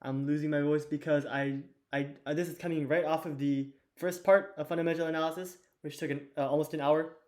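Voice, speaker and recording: A teenage boy with a hoarse, fading voice, teaching an investing lesson at a whiteboard in a loose, chatty way with lots of fillers and restarts. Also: on the high side, fairly even intonation, fairly quick, hardly any pauses, a little mellow. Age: teens. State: hoarse, losing his voice. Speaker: student teacher of an investing course.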